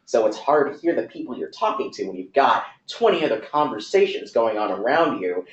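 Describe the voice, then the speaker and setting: Guy talking it through fast with lots of ums, mid pitch, dull roomy recording. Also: sing-song. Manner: thoughtful, rapid run-on explaining with fillers. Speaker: talk speaker. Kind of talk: conversational talk. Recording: echoey room, dull lo-fi sound.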